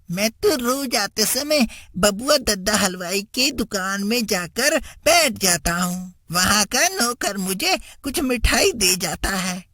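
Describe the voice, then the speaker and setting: A woman's voice: fairly high pitch, a bit bright, no room sound, exaggerated voice. A woman voicing an innocent, earnest young schoolboy in a children's cartoon story.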